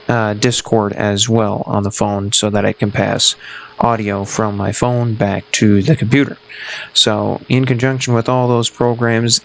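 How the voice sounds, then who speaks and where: Low, gravelly man explaining a hobby project. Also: slight background noise, rough recording. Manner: halting, unscripted, technical explaining. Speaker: radio hobbyist presenting own project. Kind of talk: casual explanatory vlog narration.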